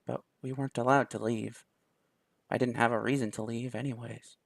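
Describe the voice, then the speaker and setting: A man's voice: mid-range voice, gravelly. A man reading a visual novel aloud in first person, with small restarts. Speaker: let's play host.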